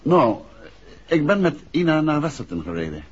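A man's voice: fairly high pitch, very animated, normal speed, husky. An actor playing a composed, courteous male amateur sleuth and affectionate husband in a 1960s Dutch radio drama. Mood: measured. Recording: dull, muffled 1960s radio recording.

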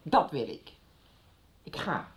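A woman's voice: slow pace, slight background noise, close to an everyday voice. An actress playing an anxious, disapproving mother in a radio drama, firm but hesitant and evasive. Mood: worried, reproachful. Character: anxious, disapproving middle-aged mother of teenage boy. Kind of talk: 1990s radio drama acting. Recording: slightly dull radio drama recording.

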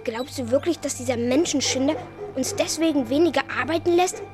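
A woman's voice: sits high, a little fast, over music, close to an everyday voice. A woman playing a determined, protective boy who speaks up boldly in a children's radio play. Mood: determined, bitter. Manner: bold, outspoken, insistent.

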